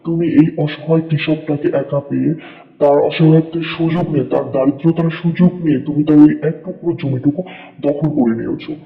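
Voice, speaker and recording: A man's voice: on the high side, fairly even intonation, fairly quick, creaky. A man playing a righteous, stern village king in a melodramatic cartoon, declaiming in a commanding way. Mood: indignant. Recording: echoing, reverberant, phone-like thin sound.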